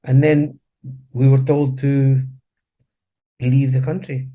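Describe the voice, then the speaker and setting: A middle-aged man from Chile, a native Spanish speaker, tells his story conversationally in an interview, haltingly, circling back to restate phrases. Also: mid-range voice, level tone, narrow, tinny audio. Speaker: interview guest.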